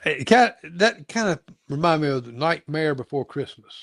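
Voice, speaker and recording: A man hosting a casual interview, asking questions in a halting way and repeating his words. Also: a little high, animated, gravelly, fairly bright tone, dry recording, clean studio sound. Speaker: interview show host.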